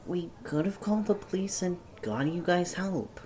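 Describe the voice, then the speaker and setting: Woman playing a deep-voiced, blunt friend. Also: expressive, moderate pace, warm tone, a little hiss or hum, close to an everyday voice. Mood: concerned, scolding. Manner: dry, blunt. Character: dry, scolding but caring young friend. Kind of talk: audio drama acting.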